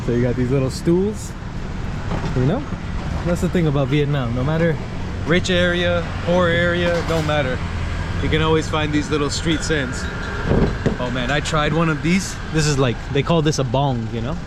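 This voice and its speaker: Loud urban noise surrounds a man with a slightly high voice and an American accent. He talks as a travel vlogger, informal and colloquial. His delivery is casual chat to camera, dotted with exclamations. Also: normal speed. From New York, United States. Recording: loud city ambience and traffic noise.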